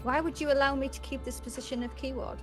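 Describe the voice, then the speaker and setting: A woman's voice: sits high, steady tone, fast, close to an everyday voice. A woman voicing a proud, devoted keeper of a fantasy prison, formal, earnest and deferential. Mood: humbled, resolute. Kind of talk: dramatic reading of fantasy game dialogue. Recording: music under the voice.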